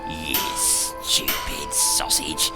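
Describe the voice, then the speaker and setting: A man plays a sly scrap thief in an audio drama. The voice is low and unhurried, gloating in mock-jolly drawn-out phrases. Loud music plays underneath. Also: flat delivery, gravelly, exaggerated voice.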